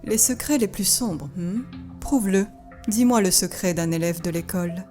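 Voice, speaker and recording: A woman's voice: mid-range voice, normal speed, darkish tone, faint music behind, lightly acted. A woman voicing an obsessive, lovestruck teenage schoolgirl in a French anime fan dub. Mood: suspicious, cool.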